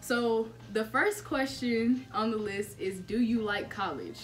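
A young woman from Tennessee hosting a relaxed group chat with friends, laughing easily and using slang. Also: fairly high pitch, slow, soft background music. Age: young adult. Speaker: host. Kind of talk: casual chat. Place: dorm room with string lights.

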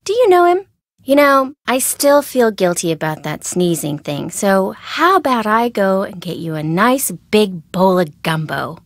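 A woman playing a perky, chatty and nervous young American sidekick in a video game, expressive and wry in a casual conversational way. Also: mid-range voice, measured pace, dry recording. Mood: curious, friendly.

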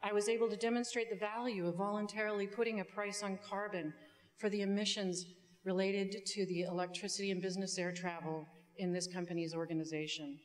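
A middle-aged woman giving a prepared commencement speech as a student speaker, measured and deliberate, with some ad-libs. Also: mid-range voice, little pitch movement. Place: indoor auditorium stage. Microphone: podium microphone. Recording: long hall reverb from stage sound system.

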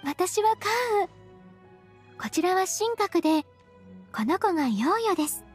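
A high, soft-spoken young woman, modest and very polite. Her anime game delivery is formal and a little hesitant. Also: sing-song, a little slow, faint music behind, full character voice. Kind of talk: Japanese anime-style game voice acting.

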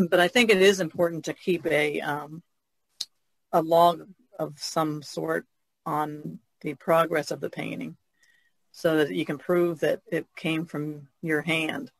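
Creaky, higher-pitched man, halting. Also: fairly even intonation, fairly bright tone, poor audio. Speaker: painter on a podcast. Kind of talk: casual podcast discussion.

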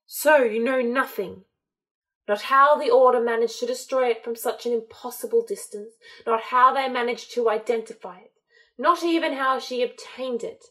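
Woman voicing a cold, menacing dark lord. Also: on the high side, low-quality recording. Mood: snarling. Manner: slow, cold and threatening.

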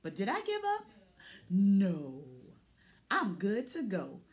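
A woman's voice: medium pitch, huge pitch swings, takes it slowly, full character voice. A woman voicing a boastful, plucky little pickup truck from a children's picture book, punchy and full of vocal sound effects. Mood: determined.

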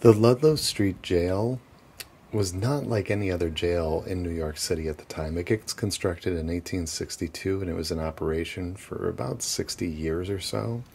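A young man with a deep, gravelly voice leads a walking tour, talking casually and chuckling as he goes. He is recorded outdoors on city streets by a camera mic on the move. A faint hum of traffic sits behind him. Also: moderate pace, darkish tone. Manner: casual, chatty, laughs often between points. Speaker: tour guide.

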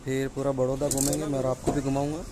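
A man's voice: mid-range voice, flat delivery, quick pace, over a noisy crowd. A young man narrating a road trip from inside a car, talking to his viewers in a chatty travel-vlog way. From Rajasthan, India. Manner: chatty, addresses viewers directly. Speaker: vlogger. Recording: loud music bed behind.

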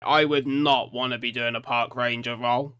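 A British man with a bright, mid-pitched voice hosts a casual reaction video. He is chatty and expressive, close to a desktop microphone in a room at home, with faint music behind him. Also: normal speed. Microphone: close desktop condenser microphone.

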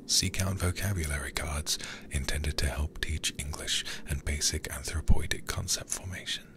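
A man with an extremely low, creaky voice reads a horror game aloud for an ASMR stream. He keeps to a hushed half-whisper, close to the microphone, with careful, deliberate phrasing.

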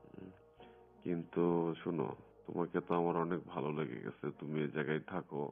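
A man's voice: very deep voice, fairly even intonation, a little fast, vocal fry. A man speaking in a private phone conversation in a coaxing, insistent manner. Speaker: phone caller in a recorded conversation. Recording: muffled lo-fi phone recording with music.

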